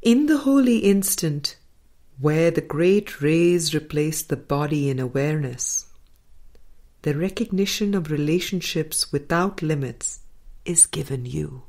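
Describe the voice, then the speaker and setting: Slow, low-voiced woman reading aloud. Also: animated, a little mellow. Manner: measured, deliberate, with phrase-by-phrase pauses. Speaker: audiobook reader. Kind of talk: reading a spiritual book aloud.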